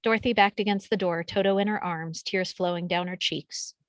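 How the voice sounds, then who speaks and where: A woman's voice: fairly low pitch, fairly even intonation, fairly quick, no room sound. A woman reading out screenplay action descriptions as scripted narration. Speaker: stage directions reader.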